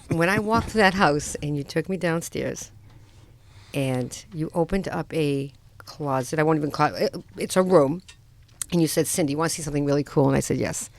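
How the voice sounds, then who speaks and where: A woman hosting a radio talk show, chatty and enthusiastic, teasing her guests in casual talk. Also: deep voice.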